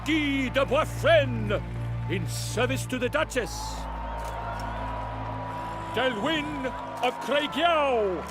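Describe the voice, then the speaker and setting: A man's voice: extremely high pitch, lively intonation, very slow, long pauses, over music, a crowd in the background, heavily acted. A man playing a pompous herald bard in a fantasy game, declaiming theatrically in verse. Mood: grand, proclaiming. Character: florid, pompous male herald bard proclaiming in rhyme. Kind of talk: theatrical video game voice acting.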